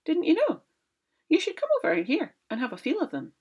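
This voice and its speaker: A woman voicing a clever, bossy giant's wife who talks in a stern, brisk and commanding way. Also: sits high, wildly swooping pitch, darkish tone, pitched above the performer's own voice. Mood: sly.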